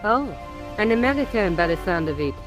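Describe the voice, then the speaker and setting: Game role: actress as brisk young French photojournalist, cool and businesslike, mid-range voice. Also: female voice, medium pitch, big pitch swings, music in the background, close to an everyday voice, rough recording. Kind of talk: video game voice acting.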